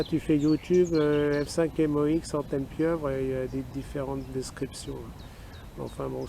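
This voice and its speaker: A man's voice: on the high side, warm tone. A man with a French accent chatting over amateur radio as an operator out in the open. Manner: spells call signs in phonetic alphabet. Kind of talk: radio contact chat. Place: outdoors in a wooded park. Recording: outdoor recording with clear background noise.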